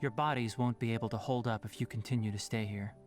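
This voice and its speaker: A man acts as a young scholarly forest ranger in a video game. He is composed, brisk and exact, laying things out firmly in a medium-pitched voice. Faint music sits underneath. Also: steady tone, close to an everyday voice. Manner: precise, explanatory, firm. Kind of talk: video game character acting.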